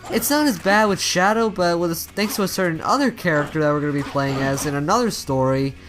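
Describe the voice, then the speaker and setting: A man's voice: a little high, fast. Man rambling through game commentary over music. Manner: rambling, stumbling over words and rephrasing. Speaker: let's play host. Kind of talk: casual video game commentary.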